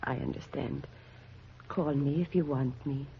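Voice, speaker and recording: An actor playing a kind, concerned neighbor in a 1940s radio drama, gentle and solicitous. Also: male voice, mid-range voice, measured pace, vocal fry. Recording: muffled, noisy vintage radio recording.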